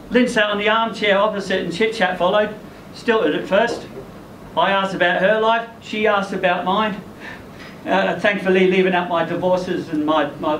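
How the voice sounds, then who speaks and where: A man's voice: sits high, a bit bright. A man performing a first-person short story aloud at a live event, as its narrator. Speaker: story reader performing in character as ageing rock singer. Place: indoor live literary event venue. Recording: echoey room, distant mic, faint noise.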